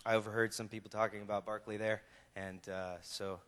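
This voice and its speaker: A man's voice: on the low side, flat delivery, a little fast, fairly bright tone, slight background noise. Hesitant male student, distant mic in a hall. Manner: hesitant, trailing off. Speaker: graduating student.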